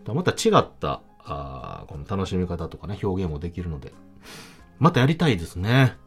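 A man with a Kansai accent hosting a casual solo talk podcast, chatting in an animated, emphatic way. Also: deep voice, normal speed, breathy edge. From Kansai, Japan. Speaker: podcast host. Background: background music.

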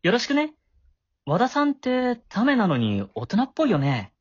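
A man's voice: very high-pitched, wildly swooping pitch, moderate pace, no echo, lo-fi sound, full character voice. A man acting a shy, awkward middle-school boy with a crush in an amateur voice drama, flustered and prone to stammering. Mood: flustered, eager.

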